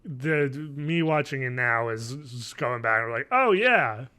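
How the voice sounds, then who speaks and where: Bright-voiced man in casual podcast banter. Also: medium pitch, animated, measured pace, clean tone. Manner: profane, slangy. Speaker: podcast co-host. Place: living space at home, indoors. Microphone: desk microphone, on video call.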